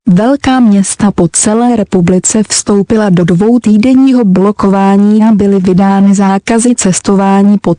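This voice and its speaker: A woman's voice: medium pitch, dark tone, no echo. A woman announcer reading a scripted government emergency bulletin in a formal, impersonal manner. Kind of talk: scripted emergency broadcast narration.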